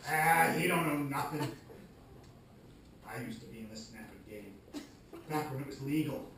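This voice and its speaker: A man's voice: medium pitch, animated, normal speed, fairly bright tone, a little hiss or hum. A man playing a washed-up older former trainer in a live comedy radio play, streetwise and dramatic, a reluctant mentor. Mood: grave warning. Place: live performance venue. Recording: echoey live stage, distant mic, music.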